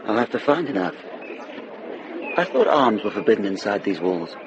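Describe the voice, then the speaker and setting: An actor playing a grave, dutiful medieval officer in a radio drama, speaking in a measured, formal way. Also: male voice, lowish voice, big pitch swings, lo-fi sound, a crowd in the background. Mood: measured, wry. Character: grave, dutiful medieval lord and sheriff's officer, war-weary. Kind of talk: British radio drama acting.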